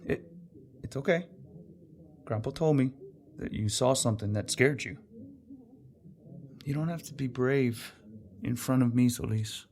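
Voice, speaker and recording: A man playing a gruffly kind, patient police detective in an audio drama, speaking in short, gentle phrases. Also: medium pitch, measured pace, pauses often, a little hiss or hum, close to an everyday voice. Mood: gentle, reassuring.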